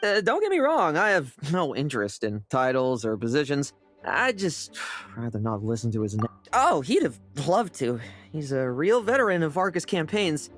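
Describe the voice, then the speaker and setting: A woman playing a sly, theatrical young female knight, a smooth-talking schemer in a video game. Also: deep voice, very animated, moderate pace, faint music behind. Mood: grandiose, sly. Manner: theatrical, coaxing.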